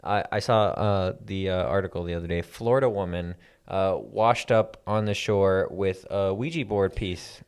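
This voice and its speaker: A man with a very low voice hosts a comedy podcast and plays the straight man in an improvised bit. His talk is halting and full of fillers, with a dry, unhurried delivery. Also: fairly even intonation, darkish tone, smooth. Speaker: podcast host.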